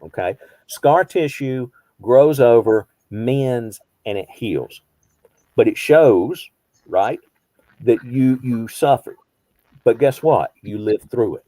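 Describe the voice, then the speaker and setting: A man's voice: mid-range voice, animated, takes it slowly, dark tone, no room sound, rough recording. A man in his fifties, a behavioral health counselor, teaching a small group conversationally, posing rhetorical questions and repeating phrases for emphasis. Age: 50s.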